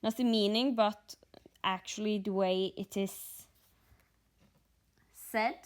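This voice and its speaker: A woman from Norway with a Norwegian accent, chatting casually in a vlog, pausing and thinking aloud, a little sleepy. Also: medium pitch, fairly even intonation. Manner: halting, thinking aloud with fillers. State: just woken up, short on sleep. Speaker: stitching vlogger.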